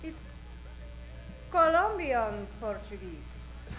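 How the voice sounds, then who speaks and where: Slow, sultry foreign torch singer. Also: female voice, fairly high pitch, sing-song, fairly bright tone, slight background noise, full character voice, poor audio. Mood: coy, flirtatious. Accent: put-on Latin accent. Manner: slow, drawn-out, flirtatious. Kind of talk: old-time radio comedy acting.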